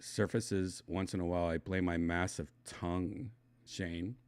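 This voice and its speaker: Deep voiced guy from wisconsin, slight lisp, mumbly rambling stream chat, dry close mic. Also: steady tone, a little slow, clear, resonant tone, dry recording. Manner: loose, mumbling, trails off into quiet asides. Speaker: livestream host reading chat.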